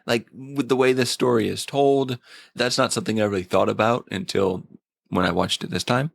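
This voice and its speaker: Man, deep gravelly voice, casual review talk, thoughtful, halting delivery, dry recording. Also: steady tone, no echo.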